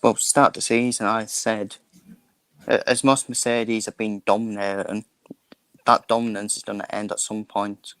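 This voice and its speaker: A man co-hosting a livestream, giving casual race commentary and opinions in a halting way. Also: on the low side, flat delivery, fairly bright tone, clear, resonant tone, no echo. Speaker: livestream co-host.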